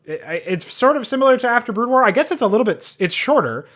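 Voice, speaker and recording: A man's voice: extremely high pitch, sing-song, quick pace, a bit bright. A man giving a casual, unscripted game review, rambling and informal, with frequent swearing.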